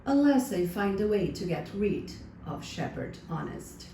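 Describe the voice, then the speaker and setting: A woman's voice: medium pitch, big pitch swings, unhurried. A woman voicing a false, jealous male royal advisor who plots in formal words in a folktale. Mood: scheming, anxious. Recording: distant, roomy sound with faint noise.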